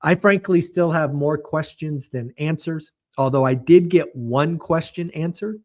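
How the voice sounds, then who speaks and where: A man with a slightly raised pitch, his voice a little hoarse as if it is fading. He hosts a real estate show and delivers market commentary in a blunt, emphatic way, with a mocking edge. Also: a little slow.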